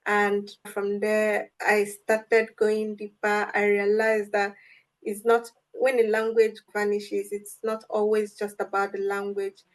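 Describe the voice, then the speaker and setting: A woman's voice: mid-range voice, monotone, takes it slowly, poor audio. A young woman with a Nigerian accent, a guest in an interview, earnest and reflective with light amusement. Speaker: guest, language advocate. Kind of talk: interview answers.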